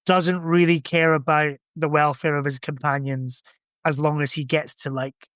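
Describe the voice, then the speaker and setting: A man's voice: fairly high pitch, level tone, moderate pace, a bit bright, clean tone, no echo, studio quality. A man chatting casually in a rambling, hedging way, as a guest on a podcast. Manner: hedging, rambling, full of kinda and sort of. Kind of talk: casual chat about science fiction books.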